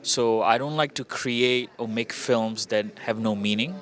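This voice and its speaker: A man's voice: mid-range voice, measured pace, a bit bright. A man from Indonesia giving casual interview answers as a filmmaker, upbeat and reflective.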